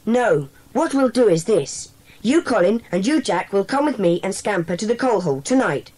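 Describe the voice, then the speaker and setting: A woman playing a brisk, decisive schoolboy who leads a secret club in a dramatised children's audiobook. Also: medium pitch, big pitch swings, a little mellow. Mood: brisk, commanding. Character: bossy, sensible schoolboy leader of a secret club.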